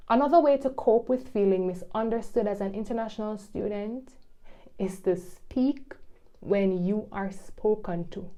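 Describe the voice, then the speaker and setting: Young woman, vlog narrator, wry and chatty, mellow tone. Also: mid-range voice. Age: young adult. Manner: wry, chatty, with patois asides. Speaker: vlogger, international student.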